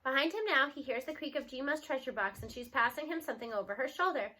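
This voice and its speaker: A woman giving an expressive read-aloud of a children's novel. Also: sits high, fast talker, slight background noise.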